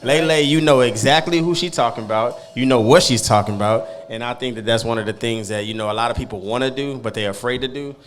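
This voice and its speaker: A man hosting a podcast, talking in a relaxed, slangy way and often softly. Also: mid-range voice, fast, hardly any pauses. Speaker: podcast host. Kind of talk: casual podcast interview.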